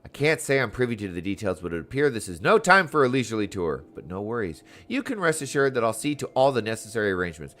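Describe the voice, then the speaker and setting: A man's voice: on the low side, sing-song, fast, few pauses, fairly bright tone. A man voicing a courteous, jovial guide in a fantasy game, with formal, old-fashioned phrasing. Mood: courteous, welcoming.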